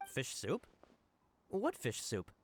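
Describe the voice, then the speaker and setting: A man's voice: mid-range voice, huge pitch swings, takes it slowly. A man playing an anxious, deferential subordinate investigator in an anime video game, speaking earnestly and apologetically. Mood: flustered denial. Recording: game music behind the voice. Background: game music.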